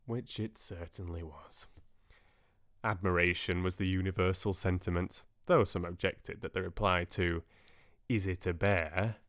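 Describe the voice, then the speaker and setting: A man reading classic literature as an audiobook narrator, with expressive, dramatic storytelling. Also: sits low, normal speed, dry recording, clean studio sound.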